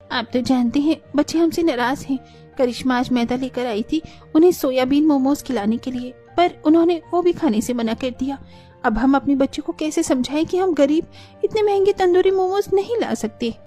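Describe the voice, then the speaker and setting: High-pitched, earnest young family woman played by an actress. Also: moderate pace, mellow, natural voice. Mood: earnest, pleading. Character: gentle, caring young women of a poor family. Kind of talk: cartoon dialogue acting. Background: background music.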